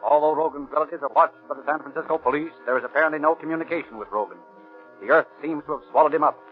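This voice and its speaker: An American actor playing an urgent narrator of a 1930s police radio drama, with a clipped bulletin delivery. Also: male voice, on the high side, very fast, natural voice. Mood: urgent, dramatic. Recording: tinny 1930s radio recording with music.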